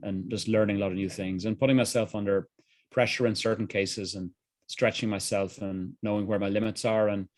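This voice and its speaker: A man's voice: lowish voice, a little mellow, no room sound, clean studio sound. A middle-aged man with a Dublin Irish accent, speaking candidly as an interview guest, often restarting phrases. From Ireland. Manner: candid, earnest, frequent restarts. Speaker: guest, executive coach. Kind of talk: reflective interview answers.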